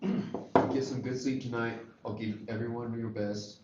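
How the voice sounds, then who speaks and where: A man's voice: medium pitch, fairly even intonation, a little slow, heavy background noise, lightly acted. Man as encouraging male teacher, drama table read, off-mic, echoey room. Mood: supportive. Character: supportive, busy male high school choir teacher. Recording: echoey, dull, distant room recording.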